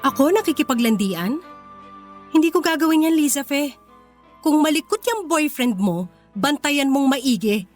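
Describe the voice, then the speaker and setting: A woman's voice: sits high, lively intonation, moderate pace, pauses often, faint music behind. A Filipino woman playing a proud, wounded young working-class heroine in a radio drama, speaking with earnest emotion. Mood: indignant, defiant.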